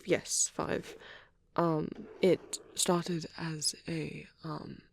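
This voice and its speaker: A performer voicing a shy, bashful, nerdy young male vampire boyfriend in a soft, hesitant, rambling ASMR roleplay. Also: medium pitch, very slow, vocal fry. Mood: shyly enthusiastic rambling. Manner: hesitant, stammering, apologetic, excitable when rambling.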